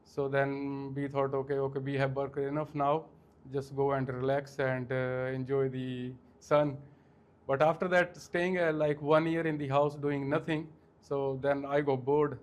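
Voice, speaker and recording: A man in his forties from India with an Indian accent, answering interview questions in a halting way with many fillers. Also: mid-range voice, flat delivery, clean tone. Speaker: guest, franchise owner.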